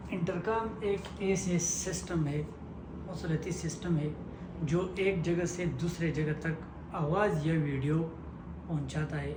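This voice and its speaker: A man giving a step-by-step technical tutorial, explaining simply and repetitively in halting phrases. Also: fairly high pitch. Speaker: technical instructor. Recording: echoey room with clear background noise.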